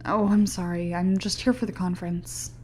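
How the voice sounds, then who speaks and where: A woman's voice: lowish voice, fairly even intonation, moderate pace, dark tone, a little hiss or hum, natural voice. A woman playing an anxious, studious researcher in an audio play, hesitant, polite and apologetic.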